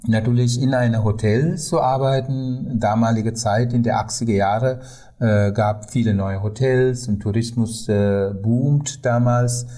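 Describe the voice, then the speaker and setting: An older man from Sri Lanka, a non-native speaker with a Sri Lankan accent, telling stories haltingly as a tour guide in an interview. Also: medium pitch, fairly even intonation, dark tone. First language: not German. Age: 50s or older. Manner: halting, non-native German with frequent hesitations. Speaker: tour guide, podcast guest. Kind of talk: conversational storytelling in an interview.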